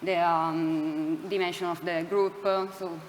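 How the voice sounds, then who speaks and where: Halting woman speaking in a reverberant hall. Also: lowish voice, flat delivery. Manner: halting, many fillers, searching for words. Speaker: conference presenter answering questions. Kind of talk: hesitant academic Q&A. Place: lecture hall. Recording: hall reverb, faint room noise.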